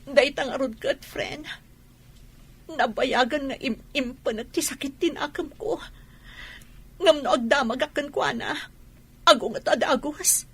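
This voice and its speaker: A woman's voice: high voice, unhurried, long pauses, a bit bright. A woman with an Ilocano accent playing an earnest, wronged young wife in a radio drama. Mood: hurt, defensive.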